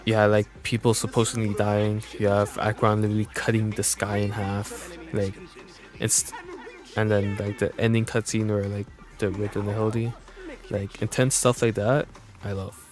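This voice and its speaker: A man with a fairly low voice chats through a video game stream. He rambles informally in a casual way, with light game music in the background. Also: little pitch movement, normal speed, darkish tone. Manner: informal, rambling, mild swearing. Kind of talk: casual gameplay commentary.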